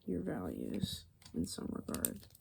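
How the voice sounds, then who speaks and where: Slow, creaky-voiced ASMR woman. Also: extremely low pitch, wildly swooping pitch, very noisy. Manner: hesitant, musing, thinking aloud while drawing. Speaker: ASMR video creator. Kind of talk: ASMR, soft rambling explanation.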